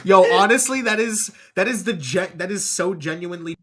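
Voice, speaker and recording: A man's voice: high voice, normal speed, a bit bright. A man hosting a podcast, chatting casually and laid-back, often asking "you know what I mean". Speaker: podcast host, rapper.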